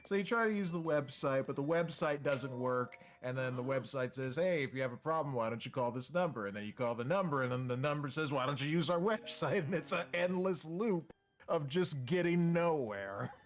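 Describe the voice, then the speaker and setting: A man in casual stream chat, calm and sympathetic, who tells his own stories in a measured way. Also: fairly high pitch. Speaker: stream co-host. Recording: faint music behind.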